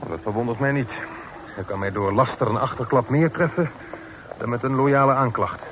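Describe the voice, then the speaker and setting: An actor in a Dutch radio play, playing a courteous young gentleman who is uneasy and a little hesitant but composed. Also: medium pitch, moderate pace, natural voice. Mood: uneasy, resigned. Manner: courteous, hesitant, somewhat puzzled. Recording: noisy old radio play recording.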